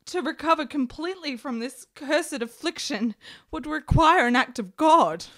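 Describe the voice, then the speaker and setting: Ailing, lovestruck period heroine: high voice, florid, desperate, melodramatic radio-play delivery. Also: female voice, expressive, moderate pace, a bit bright. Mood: fervent, wistful. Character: sickly, lovestruck, desperate woman in 1870s Italy. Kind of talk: period radio drama acting.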